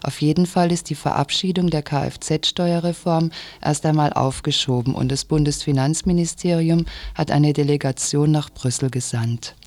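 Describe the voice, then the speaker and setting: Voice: medium pitch, moderate pace, hardly any pauses, a little mellow. A radio presenter reading a scripted news commentary with pointed, critical wording.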